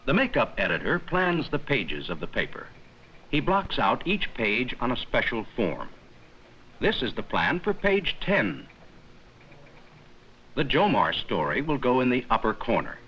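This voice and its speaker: A man reading scripted 1950s educational film narration in a measured, explanatory manner with simple, plain sentences. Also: mid-range voice, wildly swooping pitch, fairly bright tone, muffled recording. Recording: worn, noisy 1950s 16mm film soundtrack.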